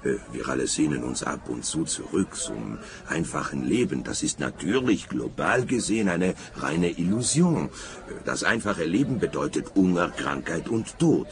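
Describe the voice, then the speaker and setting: An actor in a radio play playing a self-important, evasive bank director who talks in a halting, stuffy way. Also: male voice, rumbling low, lively intonation, crowd noise. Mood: stuffy, regretful. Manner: halting, stuffy, full of drawn-out hesitations.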